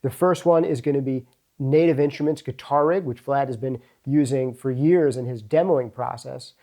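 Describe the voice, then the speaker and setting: A man's voice: mid-range voice, moderate pace, warm tone. A man presenting and interviewing in a casual way in a home studio. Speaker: interviewer and host. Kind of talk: casual gear-video presenting.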